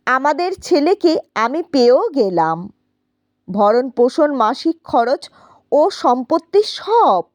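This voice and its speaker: A woman's voice: high voice, sing-song, slow pace, dry recording. A woman narrating a scripted first-person story in a dramatic, reflective manner.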